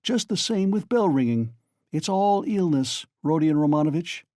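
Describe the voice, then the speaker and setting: A man's voice: a little high, lively intonation, normal speed, mellow, no echo, studio quality, pitched above the performer's own voice, lightly acted. A man voicing a sly, genial middle-aged male magistrate who questions in a wheedling, insinuating way.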